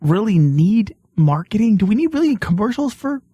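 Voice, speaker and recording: A high-pitched male host with a husky edge and very lively intonation. He rambles through crude, casual banter with joking disbelief, recorded close and dry. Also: normal speed, dry recording, dull audio. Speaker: host of a comedy news show.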